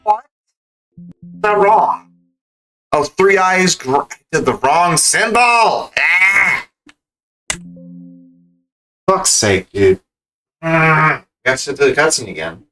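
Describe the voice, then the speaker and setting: A man's voice: fairly high pitch, very animated, very slow, long pauses, pushed and bright, rough recording. A man giving casual, exasperated gaming commentary as he speedruns, muttering and swearing. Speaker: streamer speedrunning games. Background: faint game music.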